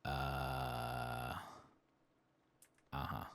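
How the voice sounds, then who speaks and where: A man giving soft-spoken ASMR commentary over a game playthrough in an unhurried murmur. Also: rumbling low, completely flat delivery, creaky. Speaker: ASMR gaming streamer.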